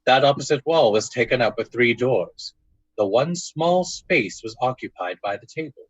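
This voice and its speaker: A man's voice: mid-range voice, animated, normal speed, slightly rough, dry recording, muffled recording. A man giving dramatic scripted narration in the style of an old-time radio drama.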